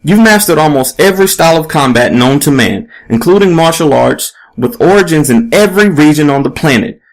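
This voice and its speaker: A man's voice: a little high, animated, brisk, voice pushed up, poor audio. A man voicing a pushy, relentless female investigative reporter in an audiobook story, with an emphatic, probing delivery. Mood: accusing.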